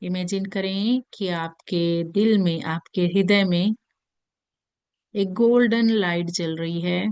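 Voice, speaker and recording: Slow, smooth-voiced Indian woman guiding meditation. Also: lowish voice, fairly even intonation, no room sound. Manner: slow, soothing, gentle, with long pauses. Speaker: meditation guide. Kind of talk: guided meditation in Hindi mixed with English.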